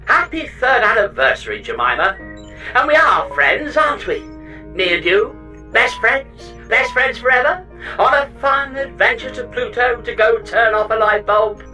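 A man playing an excitable, sentimental robot space pod who gushes and exclaims in a sci-fi comedy audio drama. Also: very high-pitched, unhurried, distant mic, music in the background, poor audio. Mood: excited, affectionate. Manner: gushing, exclamatory.

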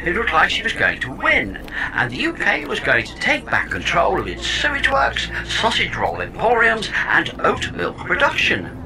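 A man's voice: high-pitched, huge pitch swings, moderate pace, full character voice, poor audio. Man as a wry robot sidekick, wildly swooping voice. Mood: gleeful explaining. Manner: wry, wordy explaining with dramatic flourishes. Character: chatty, wry robot pod sidekick, smug and theatrical. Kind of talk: comedy audio drama acting.

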